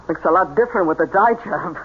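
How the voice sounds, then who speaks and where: A woman's voice: on the low side, narrow, tinny audio, lightly acted. Casting: actress as commanding con-woman medium, sly and bossy, old radio drama; thin, noisy sound. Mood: scheming, brisk. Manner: commanding, slow hypnotic orders to her subject. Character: scheming, bossy female fake spirit medium.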